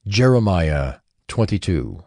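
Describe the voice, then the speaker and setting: Male scripture narrator, very low, unhurried, measured, dry sound. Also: sing-song, warm tone, dry recording.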